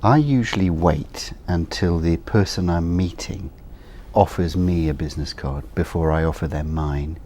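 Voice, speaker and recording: A middle-aged man, answering interview questions for a course recording in a measured, thoughtful way. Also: sits low, warm tone, slight background noise. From England. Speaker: interviewee, business owner.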